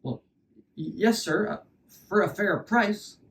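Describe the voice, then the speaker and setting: A man voicing an earnest, hesitant farm boy in a fairy tale, polite but quick to blurt out his doubts. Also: high voice, roomy sound, distant mic, voice pushed up. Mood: hesitant, eager.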